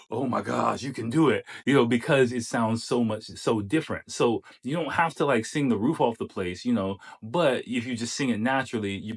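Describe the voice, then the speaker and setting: A man with a low, bass-heavy voice talks through dating advice in a loose, coaching manner. He pauses on fillers and speaks directly to the viewer. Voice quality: deep bass voice. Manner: chatty, coaching, many fillers. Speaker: host giving dating advice. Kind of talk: casual commentary on a clip.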